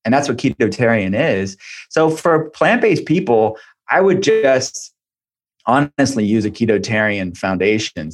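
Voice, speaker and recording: A man speaking as an expert guest in an interview, explaining health advice informally and conversationally. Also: mid-range voice. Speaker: expert guest, functional medicine practitioner and author.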